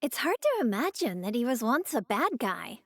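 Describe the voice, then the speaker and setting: Sweet curious anime game fighter gal, young, high pitched, lots of up and down, dry booth sound. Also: female voice, very animated, moderate pace, a bit bright, dry recording, full character voice. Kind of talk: scripted English anime game voice acting.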